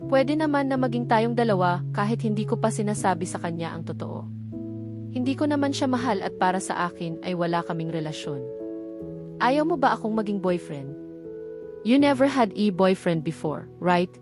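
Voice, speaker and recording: A woman narrator plays a persistent, flirtatious Filipino man in a romance storybook. He teases and coaxes his sweetheart at a woman's middle pitch, with music clearly underneath. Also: close to an everyday voice. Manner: teasing, coaxing. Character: flirtatious, persistent man in love, teasing suitor. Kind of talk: storybook dialogue reading. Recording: music behind the voice.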